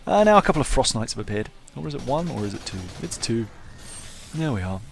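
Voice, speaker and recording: Gravelly, wry man commentating on gameplay. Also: mid-range voice, very animated, quick pace, slight background noise. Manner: wry, self-deprecating, understated. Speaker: gaming video host.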